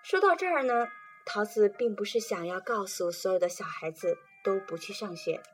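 A woman presenting and reading children's poetry in a calm, gentle storytelling manner. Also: medium pitch, moderate pace, faint music behind. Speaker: reader and presenter.

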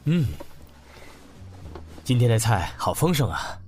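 A man's voice: medium pitch, big pitch swings, takes it slowly, darkish tone. An actor playing a reflective, tender man looking back on his life in a Mandarin radio drama. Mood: warm. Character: man wistfully narrating a lost past, warm husband and father. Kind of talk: Mandarin radio drama acting with inner monologue. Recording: music under the voice.

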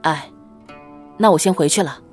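A woman playing a calm, tender young husband and dutiful son in a Chinese costume audio drama, speaking politely and with composure. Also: low voice, moderate pace, soft background music, natural voice.

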